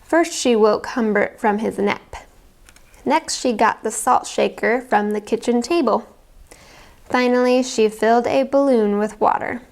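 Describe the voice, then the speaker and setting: A woman narrating a children's story aloud in an expressive, carefully enunciated way. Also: on the high side, measured pace. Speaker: storybook reader.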